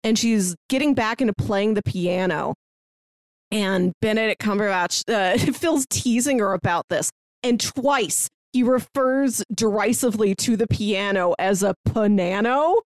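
A woman's voice: mid-range voice, measured pace, dry recording. A woman co-hosting a podcast, casually discussing film and retelling things by acting out imagined lines. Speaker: podcast co-host.

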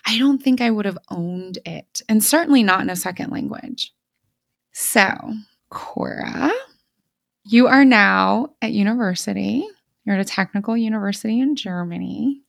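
Woman, podcast host, creaky voice, dry close sound, effusive and emphatic, chatty interview style. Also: medium pitch, normal speed, dry recording. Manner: gushing, emphatic, informal. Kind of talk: enthusiastic conversational interview.

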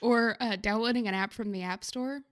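Slightly high-voiced woman, wry podcast banter. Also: steady tone, normal speed. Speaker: podcast co-host. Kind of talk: casual comedic chat.

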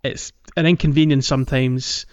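A man's voice: medium pitch, fairly even intonation, moderate pace, smooth, no room sound. A man co-hosting a casual gaming podcast, phrasing things hesitantly and circling back over his words. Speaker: podcast co-host. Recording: dull, lo-fi, music behind.

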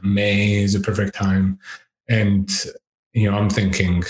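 Male guest, deep voice, slow pace, chuckles a lot, headset mic in an echoey room. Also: monotone, darkish tone. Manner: laughs often, thinks aloud with fillers. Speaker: podcast guest, education researcher. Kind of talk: casual interview chat. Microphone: over-ear headset with boom mic.

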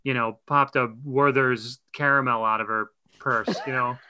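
A man from the Boston area co-hosting a podcast, chatty and quick to laugh. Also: medium pitch, steady tone, bright, forceful tone, slight background noise, poor audio. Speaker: podcast co-host. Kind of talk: casual podcast interview.

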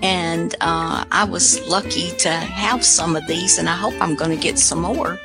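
Casting: female radio host, low-ish and unhurried, folksy, plucked guitar music behind. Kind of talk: casual show introduction.

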